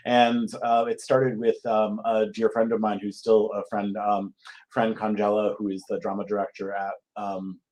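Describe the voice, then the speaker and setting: A man from Connecticut speaks as an interview guest in a slightly low voice. He is casual and thoughtful, often pausing as he searches for the next phrase. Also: completely flat delivery, darkish tone. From Connecticut, United States. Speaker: guest playwright.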